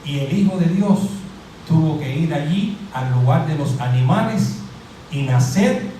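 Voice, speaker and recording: A middle-aged Cuban man preaching a lively sermon, quizzing his congregation and joking as he goes. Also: a little high, measured pace, slight background noise. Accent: Cuban Spanish. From Cuba. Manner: animated, asks the crowd questions and jokes. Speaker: pastor. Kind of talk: interactive sermon, calling on the congregation. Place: church hall. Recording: very echoey hall, mic at a distance.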